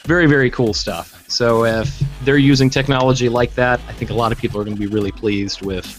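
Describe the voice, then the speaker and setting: A man hosting a podcast in casual chat, his voice hoarse, upbeat and joking. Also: medium pitch, steady tone, fairly quick, soft background music. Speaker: podcast host.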